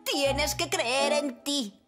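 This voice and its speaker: A woman's voice: high-pitched, drawn-out words, music in the background. A woman voicing a dramatic, doting and scolding middle-aged mother in a cartoon, with a European Spanish accent. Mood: smugly scolding. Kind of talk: cartoon dub acting.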